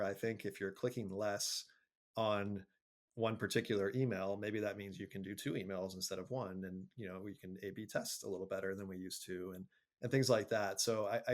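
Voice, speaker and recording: Low-pitched man giving considered interview answers. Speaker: interview guest, marketing agency executive.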